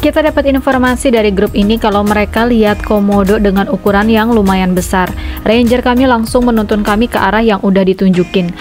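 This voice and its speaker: A woman's voice: medium pitch, a little mellow. A young woman from Indonesia, a travel vlogger, narrating her trip in a chatty, upbeat way and mixing in English phrases. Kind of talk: vlog voice-over and on-the-spot chat. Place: outdoors on a forest trek.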